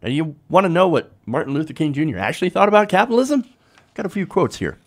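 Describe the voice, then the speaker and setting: A man's voice: on the high side, big pitch swings, fast talker. A man hosting a political commentary show, talking informally to viewers in a conversational way with rhetorical tag questions.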